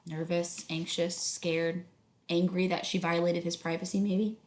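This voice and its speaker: A woman reading a children's book aloud as a teacher, instructive, pausing to put questions to students. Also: on the low side, little pitch movement, darkish tone. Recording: echoey room.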